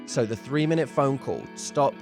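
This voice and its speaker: A man's voice: mid-range voice, moderate pace, over music. A man working as a dating coach, giving conversational advice straight to viewers in an emphatic way, slipping into acted-out example dialogue. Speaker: dating coach and show host.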